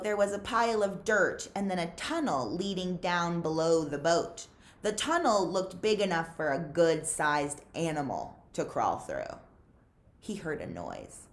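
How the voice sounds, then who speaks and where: A woman reading a story aloud to young listeners in an expressive, deliberate, suspenseful storytelling manner. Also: lowish voice. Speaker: librarian reading aloud to children. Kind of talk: expressive read-aloud storytelling, pausing to ask listeners questions.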